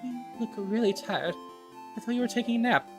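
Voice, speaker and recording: A man voicing a gentle, hesitant, sympathetic friend in a fantasy video game. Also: very high-pitched, fairly quick, over music, voice pushed up. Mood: concerned. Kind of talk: dramatic video game voice-over.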